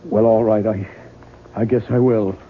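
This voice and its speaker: Actor as a weary, hesitant American husband, muffled broadcast. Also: male voice, lowish voice, fairly even intonation, moderate pace, lightly acted. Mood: evasive, subdued. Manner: hesitant, subdued, sighing. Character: weary, troubled, evasive writer husband. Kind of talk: radio drama acting. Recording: muffled, noisy 1970s radio broadcast.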